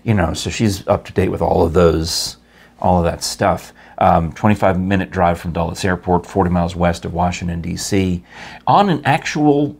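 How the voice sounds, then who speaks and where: A man's voice: sits low, normal speed, creaky, darkish tone, husky. A man in his fifties hosting a casual studio chat, joking around and reading sponsor details. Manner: jokey, rambling, playful. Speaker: host. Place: small studio set at a table.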